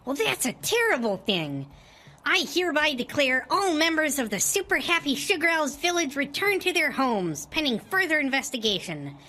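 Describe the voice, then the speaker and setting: A woman's voice: sits high, very animated, moderate pace, sharp tone, full character voice. A woman voicing a pompous, elderly male candy elf leader in a cartoon parody, with a declamatory manner. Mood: officious. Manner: officious, declamatory. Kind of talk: cartoon parody in audio drama.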